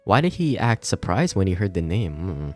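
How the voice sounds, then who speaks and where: A man's voice: sits low, very animated, moderate pace, faint music behind. A man streaming a visual novel, switching between casual chat and reading aloud, sometimes stumbling over lines. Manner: stumbles and comments while reading. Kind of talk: casual livestream chat and read-aloud narration.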